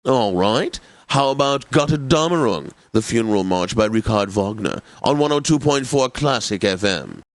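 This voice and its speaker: An actor playing a pompous, drily witty classical radio DJ in a video game, with formal, over-polite, theatrical announcing. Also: male voice, medium pitch, animated, moderate pace, few pauses, no room sound, close to an everyday voice. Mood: stately, obliging.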